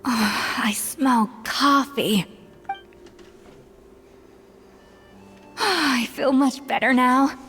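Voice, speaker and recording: A woman's voice: high voice, drawn-out words, long pauses, fairly bright tone, in a large hall, exaggerated voice. An actress playing a weary, composed and polite young woman in a video game. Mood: weary, relieved.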